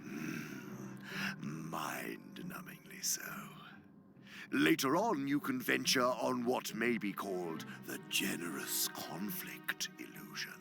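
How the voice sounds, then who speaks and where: Gravelly, theatrical demon mentor. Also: male voice, fairly low pitch, sing-song, slow pace, over music, heavily acted. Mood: smug relish. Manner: pompous, dramatic, savoring words, dropping into whispers. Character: gloating, sly senior male demon mentor. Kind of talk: theatrical radio drama monologue.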